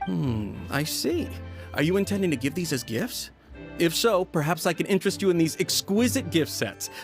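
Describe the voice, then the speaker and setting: A pushy tea merchant. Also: male voice, a little high, lively intonation, normal speed. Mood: persuasive. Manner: salesman patter, persuasive. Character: smooth-talking male tea merchant. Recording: game audio with music.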